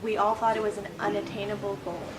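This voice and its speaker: A young woman answers interview questions in a thoughtful, unhurried way, often pausing to gather her words. Her tone stays flat and level throughout. She sounds set back from the microphone, with a clear wash of background noise. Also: medium pitch, far from the mic. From born in Canada, raised in the United States. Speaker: interview guest, Quran instructor. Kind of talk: conversational interview.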